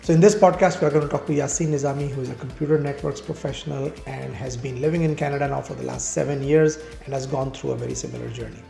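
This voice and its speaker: A man hosting a podcast interview, asking lively, quick questions with fillers. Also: mid-range voice, vocal fry. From Pakistan. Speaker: podcast host. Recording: echoey room with faint music.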